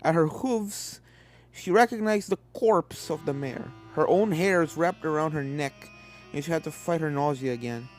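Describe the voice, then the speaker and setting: A woman's voice: low voice, moderate pace, darkish tone. A woman reading a fan fiction story as a scripted narrator. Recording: game music under the voice.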